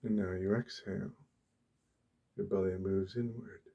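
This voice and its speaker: A soft-spoken man with a low, mellow voice guides a meditation in ASMR style. His delivery is very slow, gentle and deliberate, with long pauses. The voice is hushed and breathy. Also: fairly even intonation.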